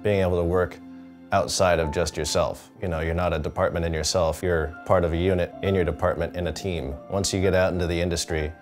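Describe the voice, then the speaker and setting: A man's voice: low voice, steady tone, a little fast. A young man, an animation student, giving matter-of-fact advice in a documentary interview. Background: music.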